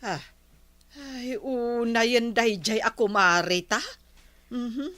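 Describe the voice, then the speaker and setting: A woman acting a gossipy village neighbor with an Ilocano accent in a comedy radio drama, disapproving and drawn-out. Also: a little high, a bit bright, dry recording.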